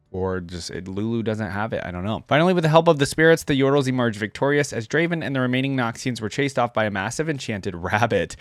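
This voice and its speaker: A man's voice: mid-range voice, no echo. Male gaming reaction host, mid-range voice, reads aloud and comments casually, dry close recording. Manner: chatty, thinking aloud between read passages. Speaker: host of a video game lore reaction channel. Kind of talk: casual reaction commentary mixed with reading wiki text aloud.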